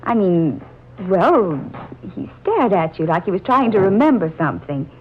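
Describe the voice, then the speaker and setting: An actress playing a chatty, teasing young confidante, in 1940s radio drama style. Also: female voice, mid-range voice, sing-song, normal speed, lightly acted. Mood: curious, teasing. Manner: inquisitive, teasing. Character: chatty, curious young woman, a romantic confidante. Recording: dull, faintly noisy old radio recording.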